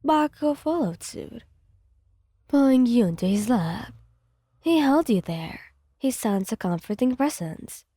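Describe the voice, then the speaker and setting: A woman reading scripted fan fiction as soft ASMR narration, with a gentle, soothing delivery. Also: a little high, expressive, a little slow, slightly rough, no room sound, studio quality.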